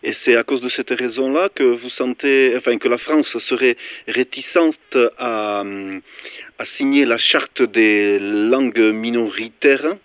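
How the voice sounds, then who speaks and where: A man's voice: medium pitch, fairly even intonation, takes it slowly. A man acting as interviewer, putting questions to a guest in a conversational style. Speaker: radio interviewer. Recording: dull lo-fi radio sound.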